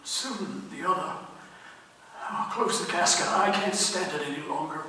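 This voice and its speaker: A tormented gothic nobleman sliding into madness, his voice bright and a little high. The delivery is melodramatic and unstable, as in a radio play. It comes from far off in a big, ringing hall. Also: a little slow. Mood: morbid grief. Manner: melodramatic, swinging from hushed faltering to wild laughing cries. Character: grief-stricken, half-mad man unravelling into manic awe. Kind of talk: theatrical gothic radio drama acting. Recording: very echoey hall, distant mic, faint noise.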